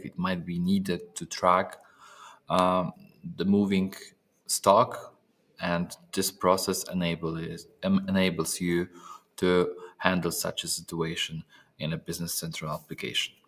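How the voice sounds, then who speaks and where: A man narrating a step-by-step software training demonstration, speaking haltingly with pauses in mid-phrase. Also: low voice, little pitch movement. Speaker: software trainer presenting a tutorial.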